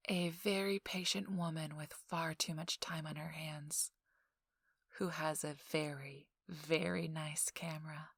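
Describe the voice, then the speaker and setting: Woman voicing a rich young socialite, unhurried, gravelly fry, teasing, sarcastic, intimate ASMR roleplay. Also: low-pitched, dry recording, exaggerated voice. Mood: teasing, smug. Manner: slow, teasing, dry sarcasm, drifting into whispers. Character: feisty young rich socialite, sly, teasing, self-assured.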